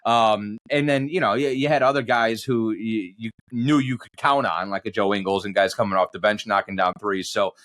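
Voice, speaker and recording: A man's voice: lowish voice, steady tone, normal speed, hardly any pauses, fairly bright tone. A man who works as a basketball announcer, giving conversational sports analysis as a guest in long, digressive explanations. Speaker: basketball radio play-by-play announcer, podcast guest.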